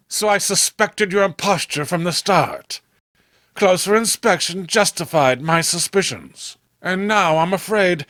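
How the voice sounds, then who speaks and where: A man voicing a sinister, scheming occult master with a formal, measured manner for a horror comic. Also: high voice, fairly bright tone, no echo, studio quality, pitched above the performer's own voice. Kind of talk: horror comic read-along acting.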